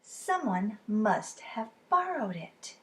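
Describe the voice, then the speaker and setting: A woman voicing a patient older brother who calmly reasons with his little sister. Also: medium pitch, takes it slowly. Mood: reasoning. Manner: gently reasoning, hushed at times.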